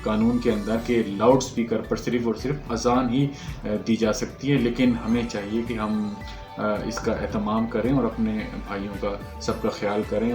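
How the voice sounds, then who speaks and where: A Pakistani man hosts a news commentary in a reverberant room, with music playing underneath. His delivery is level and monotone at a medium male pitch. He speaks haltingly to his viewers, often searching for the next phrase. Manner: halting, hesitant, searching for words. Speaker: news channel host. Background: background music.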